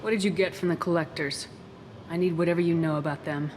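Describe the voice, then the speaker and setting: A woman's voice: on the low side, fairly even intonation, fast talker, a little mellow, long reverb tail, a little hiss or hum, lightly acted. A woman playing a tough, decisive military space commander in a video game, direct and businesslike. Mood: probing. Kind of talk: video game dramatic acting.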